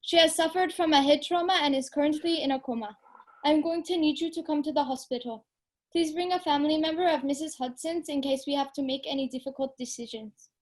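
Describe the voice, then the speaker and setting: A young woman as a brisk formal doctor. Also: high-pitched, pitched below the performer's own voice, natural voice. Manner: rapid, formal, clipped. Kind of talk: amateur audio drama.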